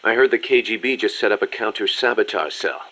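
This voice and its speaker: A man's voice: fairly low pitch, lively intonation, fairly quick, bright, forceful tone. A man playing a businesslike, articulate military second-in-command explaining things in a video game. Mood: earnest, admiring. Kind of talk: scripted video game briefing dialogue.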